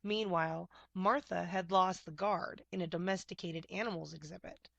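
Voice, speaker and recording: A woman reading a children's picture book aloud in expressive narration. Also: on the low side, moderate pace, vocal fry, no echo, muffled recording.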